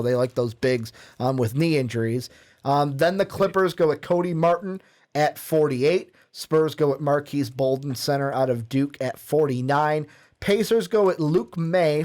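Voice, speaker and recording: Male co-host, a bit higher pitch, loose conversational read with ums. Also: a little mellow. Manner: casual, filler-heavy, self-correcting. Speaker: podcast co-host. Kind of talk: reading a mock draft list.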